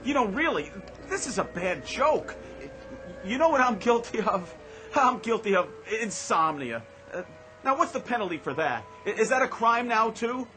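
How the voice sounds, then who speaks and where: Radio drama: indignant accused husband, desperate and biting, swinging widely in pitch. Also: male voice, sing-song, moderate pace, sharp tone, heavily acted. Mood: exasperated. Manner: emphatic, sarcastic, exasperated. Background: background music.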